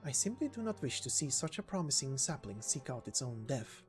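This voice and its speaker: A man voicing a calm old knight who speaks formally and solemnly, like a storyteller in a game, with music behind. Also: mid-range voice, fairly quick, natural voice. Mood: calm, earnest. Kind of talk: dramatic reading of game dialogue. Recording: voice over background music.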